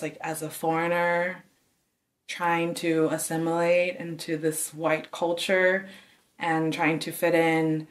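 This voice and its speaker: A woman in her twenties from Taiwan, a dancer, speaking softly and thoughtfully in an interview with some halting pauses. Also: low-pitched. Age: 20s. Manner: soft-spoken, thoughtful, halting. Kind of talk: interview testimony. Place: dark studio indoors.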